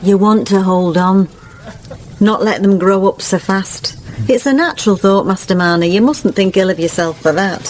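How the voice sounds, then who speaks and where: A woman's voice: medium pitch, moderate pace, darkish tone, slight background noise, lightly acted. An actress playing a kindly, pious village woman, homely and earnest, in a period radio drama. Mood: gentle reassurance.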